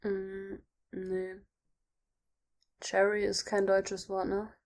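A woman's voice: medium pitch, completely flat delivery, drawn-out words, darkish tone. A woman co-hosting a podcast, playing a guessing game in casual chat, teasing and laughing easily. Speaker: podcast co-host.

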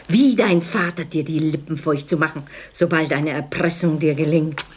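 A woman's voice: low voice, moderate pace, slight background noise, close to an everyday voice. An actress playing a cool, scornful woman in a German radio play, curt and disdainful.

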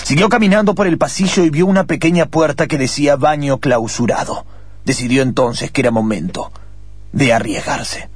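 A man's voice: fairly high pitch, brisk, slightly rough, no echo. A man with an Argentine accent narrating a suspense story dramatically, as in a radio play.

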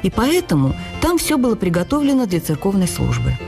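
A woman narrating a literary memoir for radio drama in an intimate, wistful and expressive manner. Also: mid-range voice, fairly quick, creaky, music in the background.

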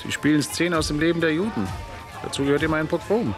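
An offhand, blasé tourist played by a man talks in a radio drama. He speaks fast at a medium pitch, with loud music behind. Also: lightly acted. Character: blasé, matter-of-fact male tourist.

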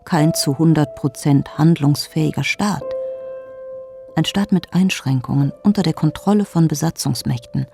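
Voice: mid-range voice, normal speed, gravelly, music in the background, natural voice. An actor playing a sober, sceptical commentator in a scripted historical radio documentary. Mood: sceptical, matter-of-fact. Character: sober, sceptical analyst of post-war German statehood.

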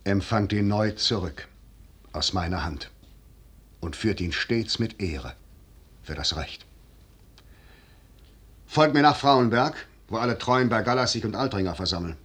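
Commanding general, deep, wide-swinging delivery. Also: male voice, lively intonation, a bit bright, husky, heavily acted. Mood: solemn. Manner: measured, deliberate, declamatory. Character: shrewd, commanding noble lieutenant general, calculating and persuasive. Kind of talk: 1950s German radio drama stage-verse acting.

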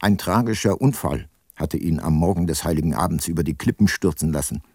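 Radio drama lead: aging, guilt-laden detective narrator, low register, reflective, dry studio sound. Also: male voice, darkish tone, breathy edge, no echo. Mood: brooding. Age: older. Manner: measured, introspective.

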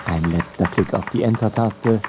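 A man's voice: lowish voice, normal speed, heavy background noise. A man narrating a scripted radio feature in a wry storytelling way.

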